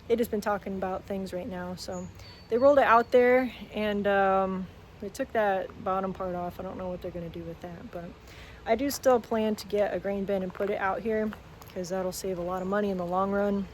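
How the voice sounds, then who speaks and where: A woman's voice: medium pitch, steady tone, normal speed, a little hiss or hum. Chatty woman on a farm. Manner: chatty, plainspoken, lots of fillers. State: voice strained from talking. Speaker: farm vlogger. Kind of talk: casual farm diary vlog. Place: outdoors on a farm garden. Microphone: phone held while walking.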